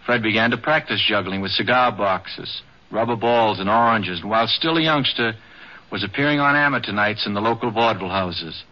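A man's voice: fairly low pitch, a little fast. A man reading scripted documentary narration as the narrator of a radio program. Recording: dull 1950s radio broadcast.

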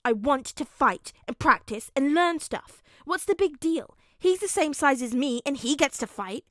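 A woman's voice: on the high side, expressive, normal speed, no echo, studio quality. A woman voicing a proud, grumpy four-year-old boy in a story, blunt and pouting as the boy makes demands. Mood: indignant.

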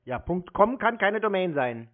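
Guy on a narrow tinny phone call, kinda high voice, pushy and scolding, arguing. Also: big pitch swings, normal speed. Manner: blunt, insistent, scolding. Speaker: scam-baiting caller. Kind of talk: confrontational phone argument.

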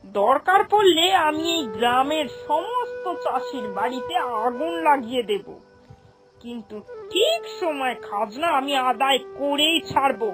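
A woman's voice: sits high, animated, measured pace, over music. A woman playing a haughty, cruel older landlady in a cartoon folk tale, imperious and threatening. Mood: menacing. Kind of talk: Bengali animated folk-tale acting.

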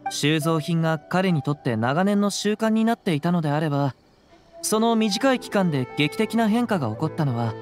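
A man's voice: fairly high pitch, natural voice. A man playing a courteous, thoughtful young samurai in a video game, speaking with composed, old-fashioned formality. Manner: composed, archaic samurai phrasing.

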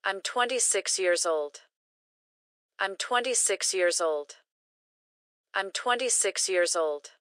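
A woman reading short English phrases slowly and carefully, each one repeated for learners to practice. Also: medium pitch, lively intonation, a bit bright, no echo, clean studio sound. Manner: careful, clearly enunciated. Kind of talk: slow repeated phrase drills.

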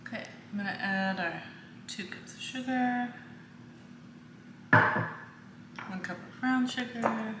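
A woman in her thirties hosting a home cooking video, walking through a recipe step by step in a casual way with a few fillers. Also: on the high side. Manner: slow, step-by-step instructions with fillers. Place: home kitchen, indoors. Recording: echoey kitchen with faint noise.

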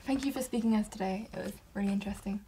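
A young woman with a mellow, warm tone asks polite, simple interview questions. Faint noise sits under her voice. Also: mid-range voice, very fast. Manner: polite, brief backchannels. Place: small studio with acoustic panels.

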